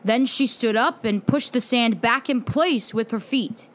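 A woman's voice: on the high side, unhurried, natural voice. A woman voicing a haunted young war veteran in a storybook, casual, laconic and a little hesitant. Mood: hesitant, matter-of-fact.

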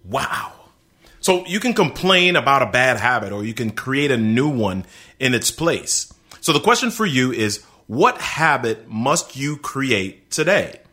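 A man hosts a podcast in an upbeat, emphatic way, talking straight to his listeners. His pitch swings widely, and his tone is bright and forceful. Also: sing-song, measured pace. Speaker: podcast host. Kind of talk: podcast hosting and interviewing.

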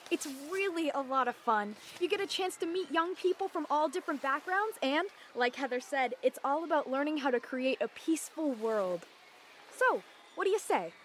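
A woman's voice: sits high, expressive, normal speed, a little hiss or hum. An actress playing a bubbly young female recruiter with an eager, enthusiastic manner.

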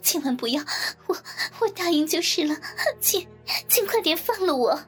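A woman voicing a frightened, refined married woman who pleads tearfully and haltingly in an audiobook story. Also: high-pitched, lively intonation, faint music behind, voice pushed up. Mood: tearful pleading. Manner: tearful, halting, repeating words.

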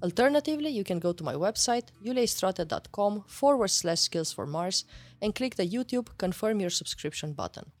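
A woman's voice: medium pitch, expressive, moderate pace, no echo, faint music behind. A woman hosting a podcast, reading an upbeat introduction and then asking her guest questions politely. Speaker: podcast host.

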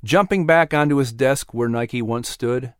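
A man narrating a scripted story as an audiobook, in a measured, expressive storytelling manner. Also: mid-range voice, smooth, dry recording, clean studio sound.

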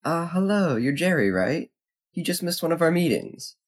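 Man playing a husky-voiced sly young fox. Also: fairly high pitch, very animated, normal speed. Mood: polite, teasing. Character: sly, sharp-tongued young male fox, college club member. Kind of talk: acted audiobook character dialogue.

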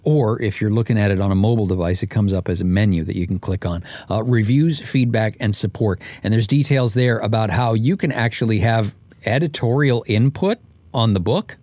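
A man with a lowish voice speaks in a dry-sounding space. He has the measured, polished delivery of someone hosting a podcast or reading his own audiobook. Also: a little mellow, no room sound. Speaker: podcast host and author.

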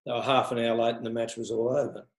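A man's voice: medium pitch, level tone, quick pace. An older man from Australia, a tennis coach, animatedly reminiscing in conversation with frequent laughs. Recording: echoey room.

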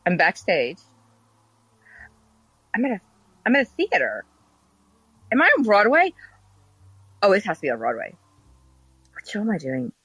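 An actress playing an excitable, starstruck schoolgirl, halting and breathless, in an audio drama. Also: medium pitch, husky, no room sound, full character voice, low-quality recording. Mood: awed.

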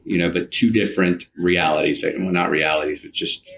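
Guy in his 50s, really low voice, rambling through memories in an interview. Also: fairly even intonation, moderate pace, fairly bright tone. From United States, partly Alabama and California. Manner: rambling, circles back and rephrases. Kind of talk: conversational personal reminiscence.

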